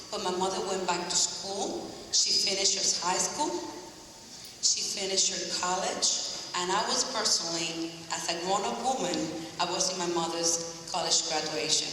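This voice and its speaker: A woman's voice: medium pitch, bright, forceful tone. A woman in her forties from Rico, giving an earnest motivational speech to students and quizzing the audience. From Puerto Rico. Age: 40s. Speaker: guest speaker. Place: large school hall. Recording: echoey hall from far off, faint hiss.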